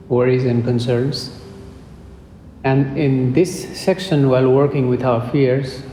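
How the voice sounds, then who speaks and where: A man leading a guided meditation as its teacher, speaking in a measured way with pauses between short phrases. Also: medium pitch, dark tone. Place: indoor group meditation session. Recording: roomy hall reverb with background noise.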